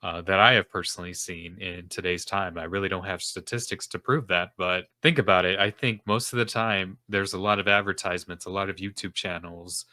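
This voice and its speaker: A man's voice: sits low, pushed and bright, no room sound. A young man hosting a faith-based podcast as a self-esteem coach, talking in an earnest, rambling way with lots of fillers, his nose stuffed up. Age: young adult. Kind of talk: casual solo podcast monologue. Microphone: podcast microphone.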